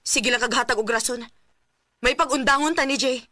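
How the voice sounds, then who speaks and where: A woman acting as a young girlfriend in a radio drama, with a high voice and a Cebuano accent. She is plain-spoken and easily hurt. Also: fairly quick, natural voice. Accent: Filipino, Cebuano.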